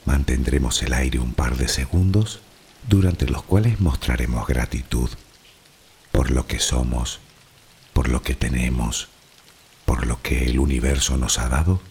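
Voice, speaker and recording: A man from the Canary Islands with a Canarian Spanish accent, narrating a guided sleep relaxation in a soft, unhurried, soothing way. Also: very deep voice, gravelly, slight background noise.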